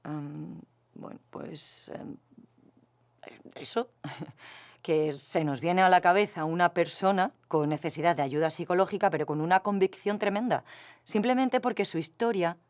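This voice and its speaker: A woman's voice: fairly low pitch, unhurried. An actress from Spain playing a matter-of-fact female colleague who gives a sober analysis in conversation for an audio drama. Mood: hesitant. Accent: Spanish from Spain.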